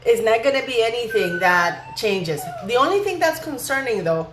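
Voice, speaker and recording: A woman's voice: a little high, sing-song, normal speed. A woman hosting an opinionated gossip commentary vlog, speaking emphatically and indignantly with rhetorical questions. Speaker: vlog host. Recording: echoey room with faint background noise.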